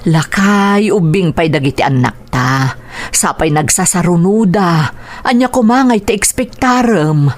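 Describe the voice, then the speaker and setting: A woman with an Ilocano accent playing a caring, long-suffering mother and wife in a radio drama, concerned and gently scolding. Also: on the low side, animated, unhurried. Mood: worried, scolding. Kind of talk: Filipino radio drama acting.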